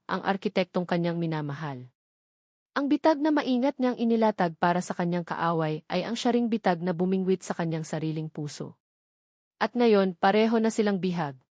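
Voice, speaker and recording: A woman's voice: mid-range voice, a little mellow, no echo, studio quality. A woman giving a scripted, dramatic narration of a romance story.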